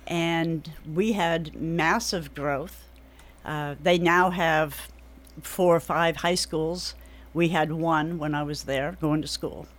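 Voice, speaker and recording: Measured middle-aged woman with a New England accent. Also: deep voice, fairly even intonation. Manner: measured, hesitant with many ums. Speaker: political candidate, radio guest. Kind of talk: radio interview. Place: radio studio.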